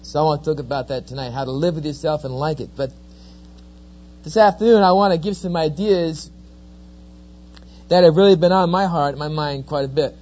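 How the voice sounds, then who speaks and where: Lively New Jersey man, dull lo-fi. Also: a little high, moderate pace. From New Jersey, USA. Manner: folksy, joking, lively storyteller. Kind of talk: sermon-style storytelling talk. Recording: dull lo-fi recording.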